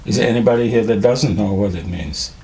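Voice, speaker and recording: Halting older man from Czechoslovakia. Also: fairly low pitch, warm tone. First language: German. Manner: hesitant, halting, many fillers and restarts. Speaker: Holocaust survivor speaking to students. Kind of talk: testimony to a school class.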